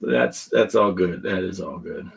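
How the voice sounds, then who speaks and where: Chatty husky-voiced man in his fifties. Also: low voice, a little slow. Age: 50s. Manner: chatty storyteller who laughs often and acts out quoted lines. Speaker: podcast host. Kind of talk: casual chat.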